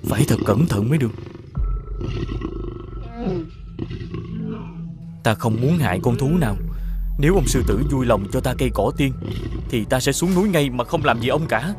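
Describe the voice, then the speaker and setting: A man playing a gentle, polite young hero in a cartoon fairy tale, earnest, courteous and pleading. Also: medium pitch, expressive, quick pace, music in the background, close to an everyday voice. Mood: cautious.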